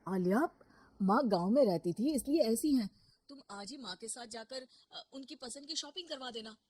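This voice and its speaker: A woman's voice: on the high side, lively intonation, moderate pace, a little mellow, dry recording. A woman voicing an earnest young married man, a firm but caring son, in an acted story. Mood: coaxing.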